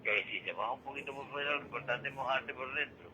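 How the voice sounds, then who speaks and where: A man's voice: medium pitch, quick pace, bright, forceful tone. An older man giving opinions as a caller on local radio, emphatic and repetitive, seeking agreement. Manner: emphatic, repeats himself, seeks agreement. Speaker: guest caller. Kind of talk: opinionated conversational radio commentary. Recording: dull telephone line with faint noise.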